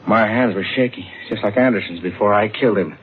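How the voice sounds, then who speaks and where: An actor playing a guilt-ridden, nervous murderous thief in an old-time radio crime drama, tense, faltering and dramatic. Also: male voice, lowish voice, fairly quick, a little hiss or hum, lightly acted. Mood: haunted narrating. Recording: tinny vintage radio recording with music.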